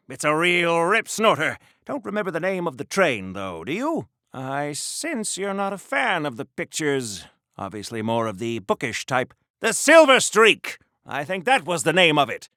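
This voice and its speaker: Theatrical, pompous old-time superhero. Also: male voice, a little high, very animated, unhurried, pushed and bright, no room sound, studio quality, exaggerated voice. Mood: earnest, chatty. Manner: theatrical, formal, fussy, earnest. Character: pompous, oblivious, old-fashioned 1940s Golden Age superhero. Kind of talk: 1940s radio-serial style game voice acting.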